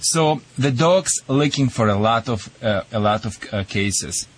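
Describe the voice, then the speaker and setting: A man's voice: mid-range voice, lively intonation, measured pace. A man with a Russian accent and broken English, giving dog behavior advice on a call-in radio show. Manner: talkative, broken English, chuckles often. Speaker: dog trainer guest.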